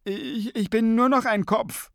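A man voicing a flustered, exasperated zombie head in a jar, stammering and halting as he speaks. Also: high-pitched, steady tone, a bit bright, no room sound, studio quality, voice pushed up.

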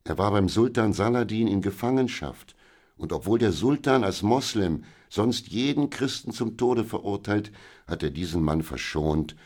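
A man voicing an excitable woman companion in a children's audiobook, speaking in a hurried, urgent and pleading way. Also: on the low side, pitched above the performer's own voice. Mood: excited. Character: excitable middle-aged woman companion, breathless talker. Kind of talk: audiobook character voice.

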